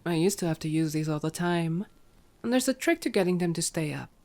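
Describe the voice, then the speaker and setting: A woman's voice: lowish voice, lively intonation, brisk, a little mellow. A woman voicing a playful, cocky female vampire in flirty, sardonic ASMR roleplay. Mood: wry banter. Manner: flirty, sardonic, foul-mouthed banter.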